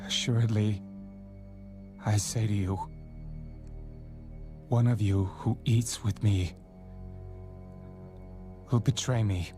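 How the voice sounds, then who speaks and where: A solemn prophet and teacher in an audio Bible drama. The voice is low and authoritative, each statement measured and grave, with soft music underneath. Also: male voice, steady tone. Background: background music.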